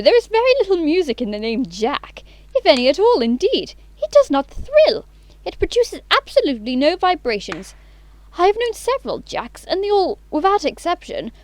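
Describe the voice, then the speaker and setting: A woman's voice: high-pitched, a little mellow, dry recording. A woman acting an imperious, romantic young Victorian society lady in an audio play, with precise, affected, self-assured speech.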